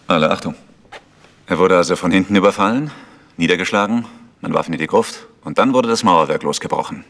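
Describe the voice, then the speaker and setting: Ein Schauspieler, der in einem Krimihörspiel einen trockenen, skeptischen Polizeiermittler spielt. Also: male voice, deep voice, brisk, a bit bright. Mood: dry. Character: dry, sceptical male police investigator. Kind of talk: vintage German radio crime drama acting.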